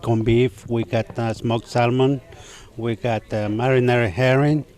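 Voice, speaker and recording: A man's voice: mid-range voice, steady tone, unhurried, a little mellow. A plain-spoken man from Mexico who grew up in Brooklyn, answering interview questions as a chef and repeating his phrases. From Mexico, raised in Brooklyn, New York. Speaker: executive chef being interviewed. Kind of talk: casual on-camera interview. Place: inside a restaurant.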